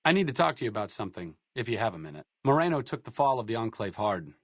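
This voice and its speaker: A man's voice: medium pitch, big pitch swings, fast, a bit bright, no echo. An actor playing a bookish, dry-witted male doctor, speaking with sarcastic, literate quips in video game dialogue. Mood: reflective.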